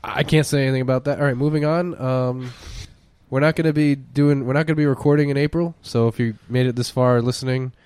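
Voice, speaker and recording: A man co-hosting a podcast in casual joking chat, lively and playful. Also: mid-range voice, quick pace, smooth, dry recording. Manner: lively, jokey, drifts into silly voices. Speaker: podcast co-host.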